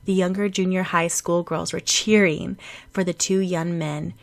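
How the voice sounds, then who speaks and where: A woman's voice: lowish voice, steady tone, a little slow. Woman narrating a fiction audiobook. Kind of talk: expressive scripted fiction narration.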